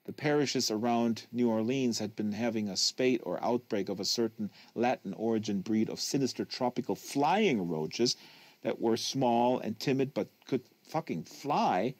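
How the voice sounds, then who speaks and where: A man's voice: mid-range voice, normal speed, no echo. A man reading a literary novel aloud in a live reading, leaning on phrases for emphasis.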